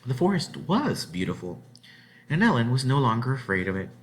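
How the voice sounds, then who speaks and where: A man reads a children's story aloud as its narrator. He has a mid-pitched voice and expressive, dramatic phrasing, drawing out words for effect. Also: brisk.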